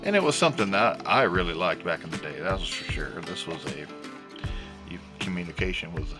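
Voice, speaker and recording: A man's voice: low voice, expressive, a little fast, music in the background. A middle-aged man giving a casual, folksy vlog demonstration as the host of a vintage gadget channel. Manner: folksy, chuckles often.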